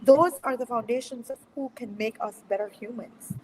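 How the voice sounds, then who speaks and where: Woman, fired up and emphatic, high-ish voice, lots of reverb. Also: steady tone, moderate pace, slight background noise. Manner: passionate, emphatic, repeating for emphasis. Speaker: educator on a panel. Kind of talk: panel discussion.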